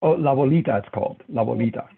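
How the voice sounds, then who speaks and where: A gravelly, husky man from New joins the panel. His voice comes through a tinny, phone-like call line, and he jokes dryly about where he's from. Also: medium pitch, quick pace. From Hudson County, New Jersey. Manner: wry, joking. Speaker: filmmaker panelist.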